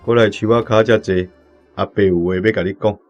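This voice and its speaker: A man's voice: lowish voice, fairly even intonation, a little fast, lo-fi sound, soft background music, natural voice. A man playing an earnest, middle-aged village letter-writer in a radio drama, with a Taiwanese accent and an instructing manner. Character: respected village letter-writer uncle near fifty, earnest elder.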